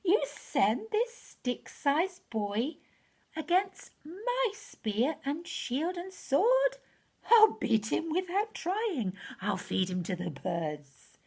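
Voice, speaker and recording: A fierce giant warrior from a children's storybook, acted out by a woman. The voice lifts high and slows down, taunting with heavy emphasis and boastful menace. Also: wildly swooping pitch, a little mellow, full character voice. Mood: scornful, threatening. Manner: slow, emphatic, taunting delivery.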